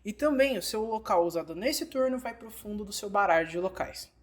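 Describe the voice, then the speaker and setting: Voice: medium pitch, animated, brisk. A narrator with a Brazilian Portuguese accent, reading a scripted game tutorial and instructively addressing the learner directly.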